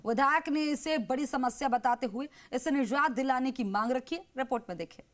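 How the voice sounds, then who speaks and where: A woman's voice: high voice, a little fast, background noise. A woman news anchor reading a formal script.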